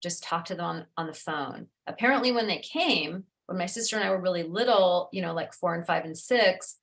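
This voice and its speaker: A woman's voice: lowish voice, brisk, creaky, fairly bright tone. A middle-aged woman author from the United States, speaking earnestly and reflectively in a casual interview.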